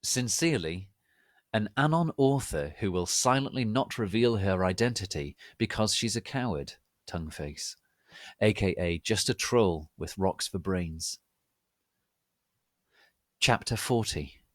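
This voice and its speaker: A man giving a theatrical dramatic reading of a story, deadpan about its absurd wording. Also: fairly low pitch, measured pace, no echo, clean studio sound. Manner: deadpan, reading misspellings and typos literally. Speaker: reader of online fan fiction.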